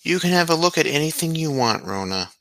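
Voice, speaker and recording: A man's voice: a little high, sing-song, brisk, bright, forceful tone, no room sound, full character voice, poor audio. A man playing a middle-aged house mother of a children's home in an audio drama. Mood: accommodating.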